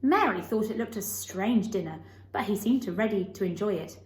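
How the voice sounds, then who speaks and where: A woman reads a children's novel aloud as narrator. Her pitch is mid-range, and the room adds a clear echo to the recording. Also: animated, moderate pace, a little mellow. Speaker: storybook reader. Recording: echoey room.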